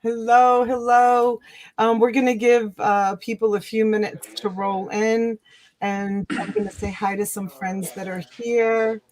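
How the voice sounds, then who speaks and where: A woman's voice: fairly high pitch, little pitch movement, measured pace, a little mellow, no room sound. A woman, hosting a casual live chat with many fillers and restarts. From Italy. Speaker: livestream host.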